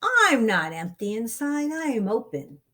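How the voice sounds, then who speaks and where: A woman's voice: a little high, sing-song, a little slow, pushed and bright, exaggerated voice. A woman as Zero, an earnest little storybook number. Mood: hopeful. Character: Zero, a yearning, earnest young female number.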